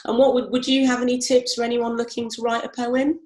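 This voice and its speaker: A woman's voice: fairly high pitch, little pitch movement, a little fast, a little mellow, reverberant. A woman with family roots in Barbados hosting an online interview, friendly and encouraging, with frequent "um"s. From Britain, family from Barbados. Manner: friendly, encouraging, filler-heavy. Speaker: event host and competition organiser. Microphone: video call.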